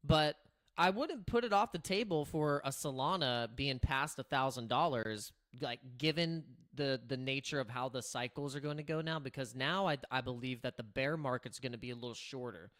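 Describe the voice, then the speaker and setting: A man with a slightly raspy voice chats casually as he hosts a livestream, thinking aloud and circling back on his sentences. He speaks at an ordinary, mid-range pitch into a desk streaming mic. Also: normal speed, fairly bright tone. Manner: rambling, with many restarts and filler words. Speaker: crypto livestream host. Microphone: streaming microphone through streaming software.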